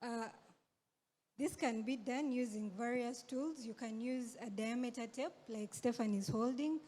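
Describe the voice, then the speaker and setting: A woman's voice: a little high, dark tone. Woman explaining in an echoing hall. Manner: measured, explaining step by step. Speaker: presenter. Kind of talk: explanatory stage presentation. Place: conference stage.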